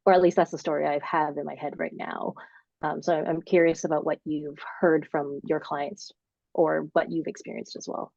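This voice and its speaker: A woman's voice: low voice, level tone, normal speed, creaky, darkish tone, no room sound. A woman participant asking a question in an online workshop on a video call, hesitant and searching for words. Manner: hesitant, many fillers and restarts. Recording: dull lo-fi video-call audio.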